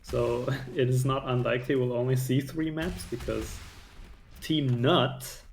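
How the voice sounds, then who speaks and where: A man co-commentating an online game match in relaxed, hesitant banter. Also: mid-range voice, unhurried, creaky, a little hiss or hum.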